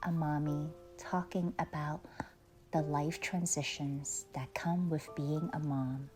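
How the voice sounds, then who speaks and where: A woman leading a guided meditation in a soft, soothing, unhurried way with long pauses, often trailing off into breathiness. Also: sits low, fairly even intonation. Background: faint music.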